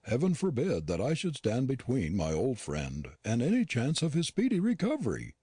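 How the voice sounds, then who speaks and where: A man voicing a curt, disdainful gentleman dentist who talks down to his housekeeper. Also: mid-range voice, wildly swooping pitch, normal speed, husky, no room sound, studio quality, voice pushed up. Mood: curt scornful. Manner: curt and scornful.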